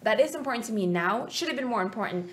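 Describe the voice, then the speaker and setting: A woman's voice: on the high side, animated, quick pace. Young adult woman, studio guest, earnest and reflective, casual rambling delivery. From Canada. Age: 20s. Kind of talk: casual interview chat. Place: small TV studio set. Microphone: desk mic on stand.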